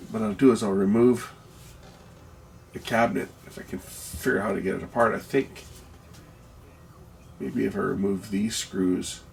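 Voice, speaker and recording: A man with a lowish, gravelly voice talks casually through a piece of electronics, as if hosting a hands-on video. He muses aloud and puts questions to himself. There is faint noise behind him. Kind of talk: casual teardown commentary.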